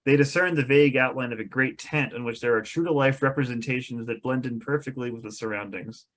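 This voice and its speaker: A man's voice: medium pitch, fast, fairly bright tone. A man reading out the stage directions of a fantasy script as narrator at a table read.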